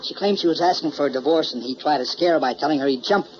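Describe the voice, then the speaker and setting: Actor as high-voiced eager press photographer. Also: male voice, fast talker, natural voice. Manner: breezy, slangy. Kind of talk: 1940s radio drama acting. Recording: muffled, lightly noisy old radio recording.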